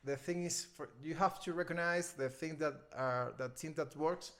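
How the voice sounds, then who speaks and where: A Venezuelan man in his thirties, a native Spanish speaker, talking hesitantly in an interview and often pausing to find his words. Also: fairly high pitch, a bit bright. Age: 30s. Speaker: interview guest, film editor. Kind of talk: casual interview conversation.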